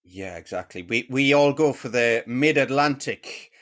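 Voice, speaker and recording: A man's voice: medium pitch, lively intonation, a little slow, fairly bright tone. A man hosting a podcast interview, talking casually with hesitant restarts and friendly asides. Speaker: podcast host.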